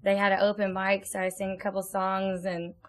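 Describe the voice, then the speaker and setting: A woman's voice: mid-range voice, completely flat delivery, a little fast. A young adult woman singer answering interview questions in a casual, hesitant way, with many ums and little laughs.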